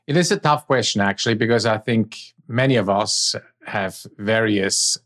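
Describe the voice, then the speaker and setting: A man's voice: lowish voice, normal speed, fairly bright tone. A middle-aged man from Switzerland, a native Swiss German speaker with a Swiss German accent, giving conversational answers as an interview guest.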